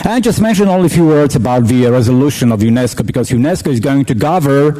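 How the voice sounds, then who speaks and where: A man with a Polish accent, a festival organizer, giving an emphatic but halting welcome speech, repeating words and filling pauses. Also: mid-range voice, lively intonation, warm tone. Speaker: festival organizer introducing a speaker. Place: event hall.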